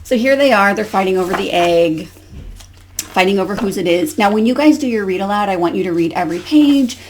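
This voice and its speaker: A woman's voice: mid-range voice, expressive, a little fast, slight background noise. A woman giving an instructional demonstration as a teacher educator, explaining and modelling questions as if to young pupils.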